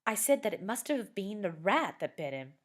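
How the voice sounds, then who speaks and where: A woman voicing a calm, patient mother in a storybook reading. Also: medium pitch, pitched above the performer's own voice. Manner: measured, calm, slow.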